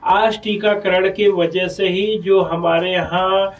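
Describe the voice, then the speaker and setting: A man's voice: sits high, little pitch movement, slow, distant mic, poor audio. A man with a North Indian accent speaking as a doctor being interviewed, explaining health matters earnestly and persuasively, often repeating phrases.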